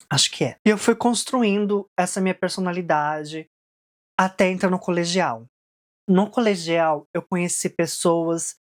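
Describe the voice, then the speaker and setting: A young woman from Japan speaks in a fairly low voice as she recounts her own story in a vlog. Her tone is casual and reflective, and she peppers her sentences with fillers as she thinks aloud. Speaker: vlogger.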